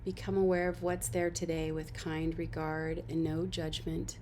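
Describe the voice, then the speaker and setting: A woman leading a guided body-scan meditation as an instructor, giving gentle, unhurried instructions and soft invitations. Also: deep voice, level tone, slight background noise.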